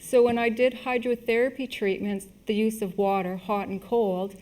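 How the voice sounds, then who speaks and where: A woman giving a public health lesson in short, plain segments, pausing for an interpreter. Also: on the high side, steady tone, darkish tone. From Canada. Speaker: health lecturer, medical missionary.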